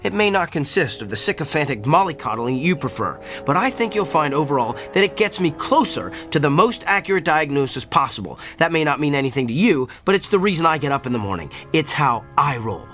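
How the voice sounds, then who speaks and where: Radio drama role: tactless, pedantic male doctor, fairly high voice, precise delivery, music underneath. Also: very animated, fairly quick, hardly any pauses, a bit bright. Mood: defensive.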